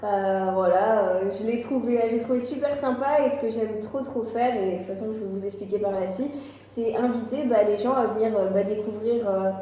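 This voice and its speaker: A woman's voice: mid-range voice, normal speed, dark tone. A woman of twenty-two, a guest on the vlog, telling her own story candidly with frequent little fillers. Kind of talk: casual personal story. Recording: very echoey room, faint noise.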